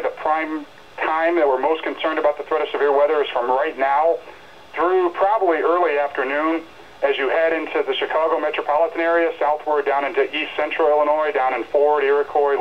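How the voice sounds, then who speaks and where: A male meteorologist speaks in a slightly high voice, explaining and cautioning in an earnest way. He sounds like a live briefing, coming muffled out of a weather radio speaker. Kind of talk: live, semi-scripted severe weather briefing. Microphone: radio speaker re-recorded by a camera in a room.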